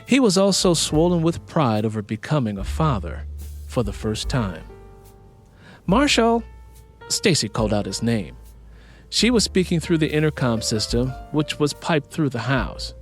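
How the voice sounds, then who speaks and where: A man's voice: medium pitch, very animated, moderate pace, faint music behind. A man reading scripted fiction as an audiobook narrator.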